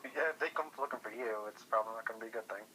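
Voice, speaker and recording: A man's voice: medium pitch, rapid-fire, sharp tone, husky. A man chatting casually and informally over the phone, thinking aloud as a guest. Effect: telephone call. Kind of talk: casual chat. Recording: faintly noisy phone line.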